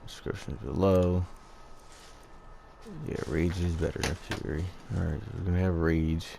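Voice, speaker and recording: A man giving casual gameplay commentary as a video host, low-key and halting as he thinks aloud. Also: fairly even intonation, vocal fry, warm tone, slight background noise.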